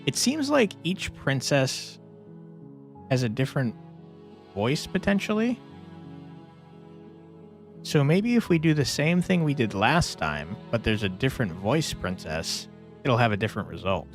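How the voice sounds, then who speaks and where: A man's voice: medium pitch, big pitch swings, measured pace, pauses often. A man hosting a gameplay video, casually musing aloud and reading on-screen text. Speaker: gaming video host. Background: game music.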